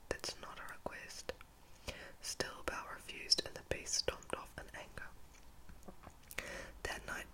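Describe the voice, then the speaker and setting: Whispering woman reading a storybook, close up. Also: rough recording. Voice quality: breathy, unvoiced whisper. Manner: halting read with stumbles and repeats. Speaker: ASMR storyteller. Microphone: close-up microphone.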